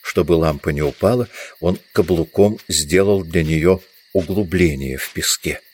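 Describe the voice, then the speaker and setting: A man's voice: low voice, unhurried, a little mellow, husky. A man reading scripted literary narration for an audio drama.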